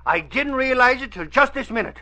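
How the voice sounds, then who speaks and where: An actor playing an excitable, grumbling Irish policeman in a 1940s radio drama, with a blustery, folksy, exclaiming manner. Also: very high-pitched, brisk, exaggerated voice. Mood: indignant.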